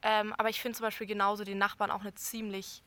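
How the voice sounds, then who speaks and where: A woman's voice: medium pitch, little pitch movement, fast, a bit bright. A young woman, a soprano from Berlin, answering interview questions thoughtfully and searching for words. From Berlin, Germany. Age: young adult. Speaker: soprano singer.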